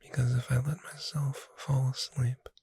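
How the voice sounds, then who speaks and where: Asmr performer doing a kindly bookshop witch, super breathy whisper, mellow n unhurried, soothing roleplay. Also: mid-range voice, flat delivery, no room sound. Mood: gentle, soothing. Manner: slow, gentle, soothing, addressing the listener directly. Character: gentle, reassuring witch who runs a bookshop.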